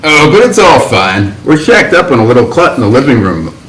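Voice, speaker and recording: A hip 1950s writer full of slang and swagger, played by a man. His voice leaps up and down with animated energy. It sounds roomy, with a clear echo. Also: medium pitch, fairly quick, a little hiss or hum. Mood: jazzy, excited.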